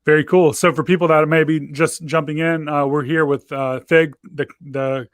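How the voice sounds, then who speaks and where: A man hosting a casual video call interview, talking in a relaxed, chatty way with lots of fillers. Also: on the high side, normal speed, no room sound, studio quality. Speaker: host. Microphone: video call microphone.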